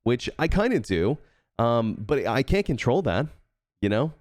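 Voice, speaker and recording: A man's voice: medium pitch, sing-song, measured pace, a little mellow, dry recording. A man with a somewhat nasal voice, co-hosting a casual podcast and telling stories in a rambling, self-deprecating way. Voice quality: a bit nasal. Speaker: podcast co-host and game content creator.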